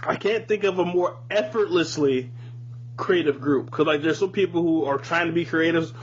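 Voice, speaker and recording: A man's voice: fairly high pitch, normal speed. A man hosting a podcast, chatting casually and giving his opinions in informal, profane slang. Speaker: podcast host. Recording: muffled lo-fi audio with hum, hiss.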